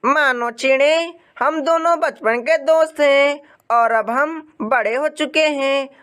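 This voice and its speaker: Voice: high-pitched, slow, fairly bright tone, voice pushed up. A narrator voicing a lovesick, insistent young female bird in a cartoon story, with a pleading manner.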